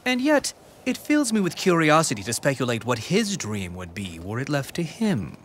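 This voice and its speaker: An actor playing a sly middle-aged court strategist in a video game, with a measured, insinuating manner. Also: male voice, mid-range voice, wildly swooping pitch, slight background noise. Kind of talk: dramatic video game voice acting.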